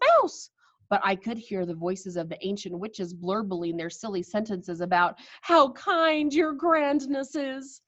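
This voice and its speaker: A woman reading a children's story aloud with expressive narration, on a slightly dull recording. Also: medium pitch, no room sound.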